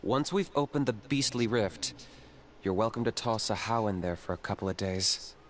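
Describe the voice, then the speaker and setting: Casting: lowish, clipped voice for a man playing a cool young hunter, faint game noise behind. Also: lively intonation, moderate pace, natural voice. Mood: businesslike. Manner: terse, matter-of-fact.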